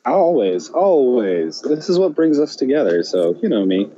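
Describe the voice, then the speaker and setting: Laid-back male co-host, mellow muffled voice. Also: medium pitch, very animated, normal speed, a little hiss or hum. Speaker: podcast co-host. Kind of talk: casual chat. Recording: dull, muffled lo-fi sound.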